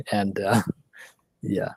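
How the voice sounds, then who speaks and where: A man's voice: lowish voice, little pitch movement, drawn-out words, husky, dry recording. A man hosting a livestream, chatting casually and rambling with lots of fillers, sometimes dropping to a near whisper. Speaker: livestream host. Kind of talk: casual chat.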